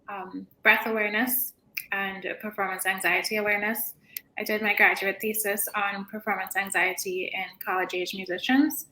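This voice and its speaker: Female panelist, bright forceful tone, conversational, roomy sound with faint noise. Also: medium pitch. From Trinidad. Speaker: music teacher and yoga instructor, panelist. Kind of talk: conversational self-introduction. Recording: echoey room with background noise.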